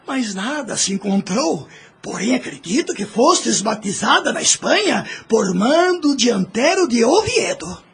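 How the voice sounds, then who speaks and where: A man playing a gentle, solemn old grandfather in an audio drama, speaking formally and paternally with a husky, creaky voice. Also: extremely high pitch, exaggerated voice.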